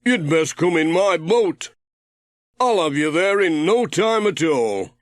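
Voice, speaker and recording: A man voicing a brisk, confident, hearty amateur sailor who gives bossy instructions in a children's audiobook. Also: fairly high pitch, big pitch swings, voice pushed up. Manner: confident, instructing, calling out loudly.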